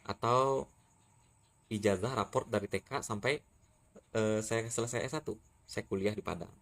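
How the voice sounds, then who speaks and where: A man's voice: lowish voice, moderate pace, fairly bright tone. A man from West Sumatra firmly scolding and challenging a critic in a heated monologue. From West Sumatra, Indonesia. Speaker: TikTok creator answering a critic.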